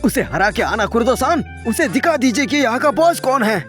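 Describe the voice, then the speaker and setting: A man playing a jumpy sidekick to a teenage gang boss in an anime fan dub, excitable and prone to stammering when frightened. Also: very high-pitched, moderate pace, faint music behind. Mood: egging on.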